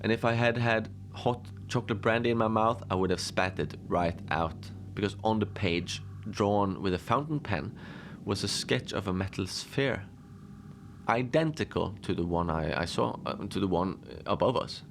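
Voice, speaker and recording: A man playing a deadpan lone adventurer who tells his odd tales slowly and conversationally, rambling with halting asides, in a cozy horror audio drama. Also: fairly low pitch, a little hiss or hum, lightly acted. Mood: deadpan, musing.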